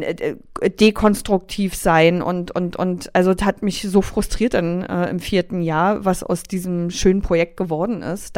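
A middle-aged woman from East Berlin with an East Berlin accent, telling stories as a radio guest, lively, with many fillers and restarts. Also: mid-range voice, no echo, clean studio sound. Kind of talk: casual radio interview.

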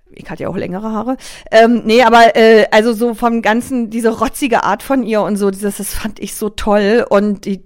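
Middle-aged East Berlin woman, radio guest, lively storyteller, dry booth sound. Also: mid-range voice, smooth, dry recording. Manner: lively storytelling with many fillers and restarts. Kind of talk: casual radio interview.

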